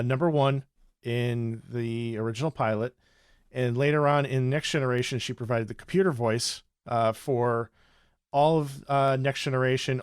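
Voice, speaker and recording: A man's voice: medium pitch, smooth, no room sound. Guy podcast cohost, medium voice, dry recording, explains stuff matter of fact. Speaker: podcast co-host. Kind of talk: casual explanatory chat.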